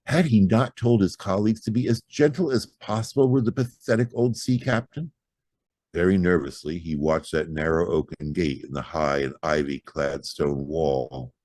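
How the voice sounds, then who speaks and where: A man reading a horror story aloud as an audiobook narrator, with theatrical, expressive delivery. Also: sits low, moderate pace, dry recording.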